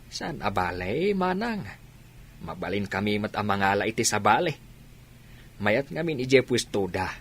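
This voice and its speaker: A man's voice: fairly low pitch, big pitch swings, moderate pace, a bit bright, a little hiss or hum. An actor playing an older father chatting about family matters in a drama. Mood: conversational, earnest.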